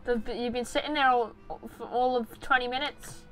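A woman plays a kindly young sheriff's partner in a Western game roleplay. The voice is fairly high and the delivery offhand and casual, with guitar music clearly playing behind. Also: steady tone, moderate pace, close to an everyday voice, low-quality recording. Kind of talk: improvised Minecraft roleplay.

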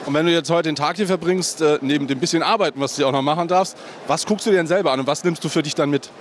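A middle-aged man with a slightly high voice works a busy convention hall as reporter, speaking into a handheld mic. He talks in a chatty way, leaning on fillers as he steers his questions. A faint murmur of noise sits under his voice. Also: quick pace. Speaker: event reporter and host. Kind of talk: on-site event interviews and wrap-up to camera. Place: large indoor convention hall. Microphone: handheld microphone.

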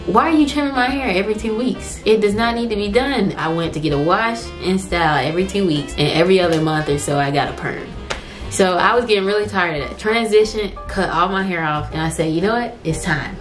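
A young woman chatting to the camera in her living room about her hair, lively and quick to act out what people said. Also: medium pitch, soft background music. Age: young adult. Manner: chatty, animated, acts out quoted voices. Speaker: hair vlogger. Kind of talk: casual chat to camera. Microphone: camera on tripod at medium distance.

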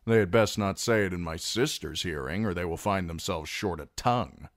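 A man's voice: deep voice, moderate pace, no room sound, close to an everyday voice. A male narrator voicing a bitter, sardonic dwarf lord who speaks in a dry, wry, curt way. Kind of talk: audiobook character reading.

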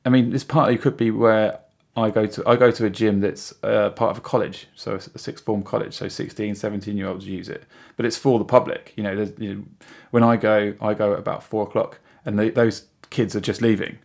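A man's voice: lowish voice, level tone, fairly quick, gravelly, darkish tone. A British man of about forty, a podcast guest chatting casually in a rambling way. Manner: rambling, frequent "you know". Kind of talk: casual chat.

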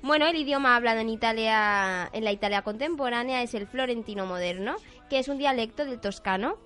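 A woman's voice: a little high, brisk, a bit bright. A woman with a Spanish accent from Spain, co-hosting a casual radio podcast, playful and jokey with lots of laughter. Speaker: podcast co-host.

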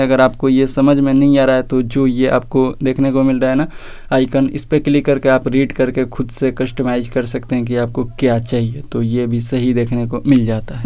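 A man's voice: mid-range voice, mellow, smooth, no echo. A man with an Indian accent presenting a casual phone feature walkthrough, informally addressing viewers directly. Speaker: tech video presenter.

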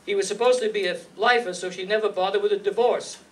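High-voiced wisecracking noir tough guy played by a man. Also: steady tone, quick pace, lightly acted. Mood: wry, tough. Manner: slangy, sardonic. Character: wisecracking, tough hard-boiled gumshoe and narrator. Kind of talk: 1950s radio noir acting. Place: stage in an indoor meeting hall, live reading. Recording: distant, noisy live hall recording.

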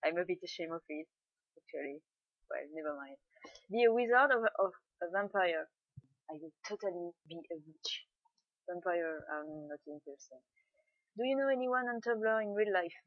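A woman's voice: on the low side, animated, moderate pace, dry recording. A young woman from France with a French accent, chatting awkwardly and a bit shyly in a casual voice meme, reading words aloud. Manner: awkward, shy, laughing at herself. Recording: dry, dull lo-fi home mic.

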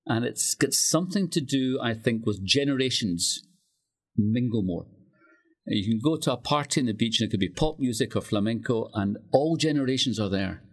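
Male guest in casual conversation, husky and animated in pitch. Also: moderate pace. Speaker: writer and guest. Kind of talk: casual chat.

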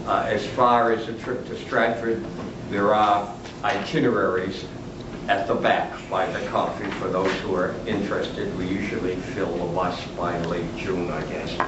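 An older man gives a chatty, humorous lecture from a lectern, his lowish voice picked up from the back of a large room. The sound is distant and roomy. It is dull, with a noisy background. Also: flat delivery, a little slow. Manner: chatty and humorous, chuckling at own jokes. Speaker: retired literature professor lecturing. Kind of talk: lecture with asides. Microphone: camera at the back of the room.

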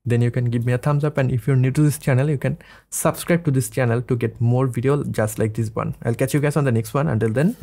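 A man in his thirties hosting a casual software tutorial, explaining things step by step. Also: medium pitch, steady tone, fast, vocal fry. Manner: step-by-step instructing. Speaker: tutorial host. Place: small indoor studio room.

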